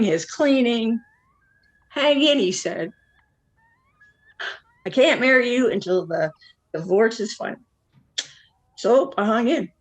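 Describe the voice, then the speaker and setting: Jilted woman for one-act drama, played by an actress: slow, confessional, world-weary, deadpan with a bitter edge. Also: medium pitch, slightly rough. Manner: candid, rueful, deadpan asides.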